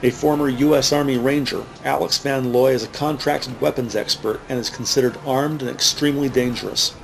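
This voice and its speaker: A man narrating a scripted crime drama in a measured, matter-of-fact way. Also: medium pitch, steady tone, gravelly, a little hiss or hum, low-quality recording. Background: music.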